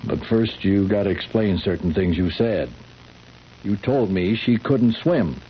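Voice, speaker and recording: A skeptical sheriff in a vintage radio mystery, with a very low voice. He questions patiently in a folksy, measured way. The sound is muffled, with faint noise. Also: animated. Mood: coaxing, measured. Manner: probing, measured, folksy, hesitant. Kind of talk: old-time radio drama acting. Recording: dull, noisy old radio broadcast recording.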